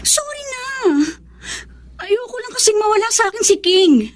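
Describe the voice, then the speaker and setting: Radio drama casting: scheming young woman, very high voice, desperate, overwrought, selfish. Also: lively intonation, moderate pace. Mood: pleading. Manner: overwrought, emotional, insistent. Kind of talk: Filipino radio drama acting.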